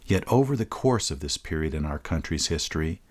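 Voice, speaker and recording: A man narrating a documentary in a measured, formal, scripted delivery. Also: extremely low pitch, lively intonation, darkish tone.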